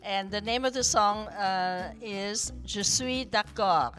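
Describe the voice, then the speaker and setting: Woman in her 70s, native Vietnamese speaker, slow halting speech, faint music behind. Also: medium pitch, a bit bright. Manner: hesitant, many fillers, non-native grammar. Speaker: podcast guest. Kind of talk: casual interview chat.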